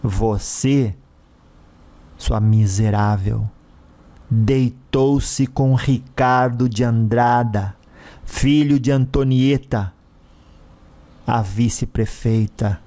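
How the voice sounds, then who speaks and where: Man acting slow, cruel, mocking spirit villain. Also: mid-range voice, mellow. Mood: bitter, accusing. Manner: menacing, sneering, with drawn-out villain laughs. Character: cruel vengeful dead husband, mocking shape-shifting spirit.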